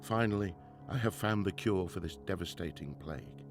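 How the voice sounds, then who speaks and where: A grieving 1920s professor acted by a man, low-pitched with a gravelly creak. He is a driven, formal father in a radio drama, speaking earnestly and halting when troubled, with faint music behind. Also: animated. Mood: sorrowful, solemn. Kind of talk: radio drama acting with diary narration.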